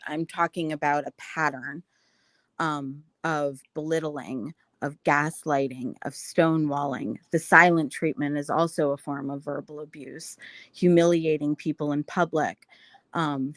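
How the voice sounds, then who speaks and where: Woman panelist, earnest and measured, lower pitch, dry recording. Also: no echo. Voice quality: self-described naturally high-pitched voice. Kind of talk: panel discussion.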